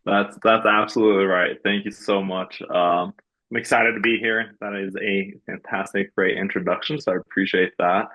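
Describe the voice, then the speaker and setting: Low-voiced guy from norway, podcast guest, rambly with ums, kinda muffled audio. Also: steady tone, moderate pace, bright, forceful tone. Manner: many fillers and restarts, earnest storytelling. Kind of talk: podcast interview.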